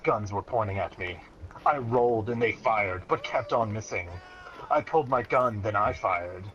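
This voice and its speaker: A man's voice: mid-range voice, a bit bright. A man reading a story aloud in a mock-serious, dramatic way, often close to breaking into laughter. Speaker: reader of a childhood story. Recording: dull lo-fi sound, clear background noise.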